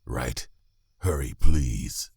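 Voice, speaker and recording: A man playing a wounded, poisoned knight in an audio drama, strained and halting as he pushes through pain. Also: very deep voice, vocal fry, dark tone, slightly rough, no echo, studio quality, full character voice. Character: wounded male knight, weakened by poison, stoic and protective.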